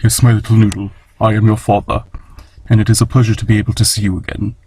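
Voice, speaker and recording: A man playing a gravelly, deep-voiced fatherly monster scientist. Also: little pitch movement, a little mellow. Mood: tender, grave. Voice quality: low, gravelly, husky. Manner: measured, formal, tender. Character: gentle, solemn, fatherly lost scientist monster. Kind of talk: fan comic dub acting.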